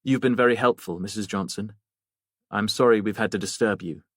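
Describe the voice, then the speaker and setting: Guy doing a calm polite cop asking questions, bland low voice, audiobook. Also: brisk, dry recording, clean studio sound, close to an everyday voice. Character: calm, courteous male police detective asking questions. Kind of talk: audiobook character voice.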